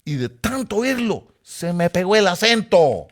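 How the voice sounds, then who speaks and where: A man from Costa Rica with a Guatemalan Spanish accent, preaching a sermon and telling personal stories. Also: a little slow. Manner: animated storytelling with sudden rises in pitch and volume.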